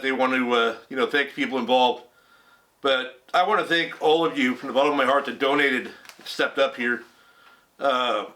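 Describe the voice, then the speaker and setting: A man's voice: medium pitch, bright, forceful tone. A man hosting a casual weekly fishing report, rambling with many fillers, just getting over a cold. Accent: Southern Californian. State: recovering from a cold.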